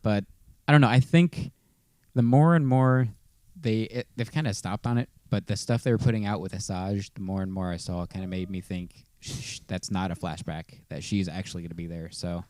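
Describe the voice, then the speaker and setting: A man's voice: deep voice, normal speed, a little mellow, no echo. A man co-hosting a podcast, talking casually and informally in easygoing fan banter. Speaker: podcast co-host.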